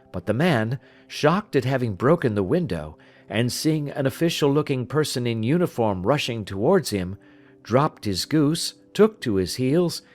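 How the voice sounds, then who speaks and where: A man voicing a confident, analytical gentleman detective in an audiobook, speaking in a precise, measured, lecturing manner. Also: mid-range voice, close to an everyday voice. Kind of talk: audiobook character dialogue.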